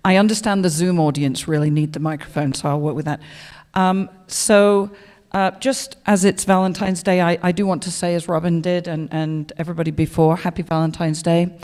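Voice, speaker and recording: A woman with a loud voice giving an organized, friendly presentation to a club audience as an insurance representative. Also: fairly low pitch, fairly even intonation, normal speed. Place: indoor meeting room. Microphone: microphone. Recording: long reverberant room sound.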